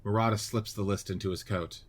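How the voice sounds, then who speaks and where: A man's voice: fairly low pitch, steady tone, a little fast. A man giving scripted narration of stage directions and scene headings for a radio-play reading.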